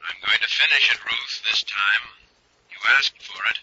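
A man's voice: lowish voice, moderate pace, lightly acted. An actor playing a grim, threatening man in an old radio thriller. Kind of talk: 1940s radio thriller acting. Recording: muffled, noisy old radio recording with clicks.

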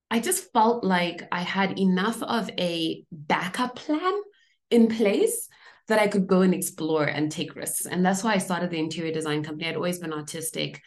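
A woman's voice: on the low side, gravelly. A woman from Zimbabwe, an executive coach, explaining her career as a podcast interview guest in an articulate way, laying out points in lists.

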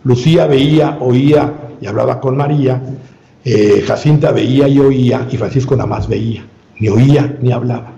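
A man speaking as an interview guest, giving a long measured explanation with frequent filler sounds and restarts. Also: mid-range voice, vocal fry, dark tone. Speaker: guest researcher. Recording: roomy, dull lo-fi sound.

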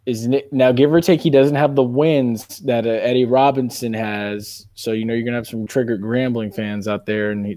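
A man with a soft-edged, mellow voice hosts a sports talk podcast. He argues casually but emphatically, repeating phrases to drive points home. The sound is close and dry, at a medium pitch. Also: dry recording. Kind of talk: animated casual sports debate.

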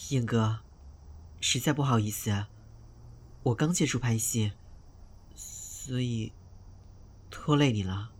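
A man's voice: mid-range voice, slow pace, long pauses, slightly rough. A man playing an ingratiating young male actor, sweetly wheedling and falsely meek, in an audio drama. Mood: meek, apologetic. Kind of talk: Chinese audio drama acting.

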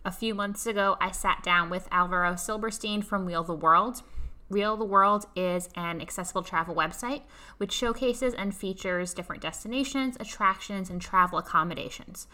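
A woman's voice: mid-range voice, normal speed, bright, forceful tone. A woman hosting a podcast, giving a polished, upbeat intro and then interviewing a guest. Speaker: podcast host.

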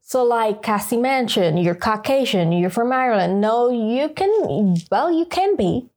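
A woman's voice: on the high side, expressive, unhurried, darkish tone. A woman giving her opinions conversationally in a group discussion, emphatic and quoting imagined exchanges. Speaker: panel discussion participant.

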